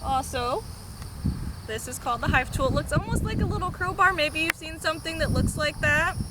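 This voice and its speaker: A woman's voice: sits high, a little fast, heavy background noise. A woman presenting a children's storytime, explaining things simply for young listeners. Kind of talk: explaining and reading a picture book aloud to children.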